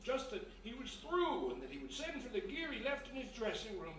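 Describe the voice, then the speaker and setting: A man's voice: extremely high pitch, big pitch swings, fairly quick, a bit bright, heavily acted. A man playing a folksy, grumbling old theater manager who rambles colloquially in a period radio play. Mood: exasperated. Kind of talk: period radio comedy-mystery acting. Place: live performance in a historic house. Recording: very echoey live stage, distant, faint noise.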